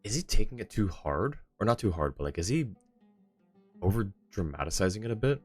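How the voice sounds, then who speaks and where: A man's voice: fairly low pitch, very animated, brisk, slightly rough, faint music behind. A man hosting a livestream, chatting casually and informally with his viewers in a friendly, welcoming manner. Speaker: livestream host. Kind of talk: casual gaming stream chat.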